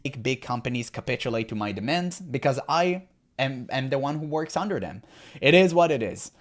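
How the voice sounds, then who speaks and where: A man's voice: mid-range voice, expressive. A man, not a native English speaker, talking earnestly and carefully in a played video monologue. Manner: earnest, careful, slightly halting.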